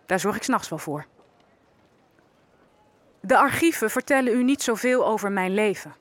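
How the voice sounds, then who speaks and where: An actress playing a wry, knowing enslaved woman who survived, telling her life story in a measured way for an audio drama. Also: mid-range voice, animated, no room sound, natural voice. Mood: quiet, solemn. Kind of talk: dramatised first-person narration in an audio drama.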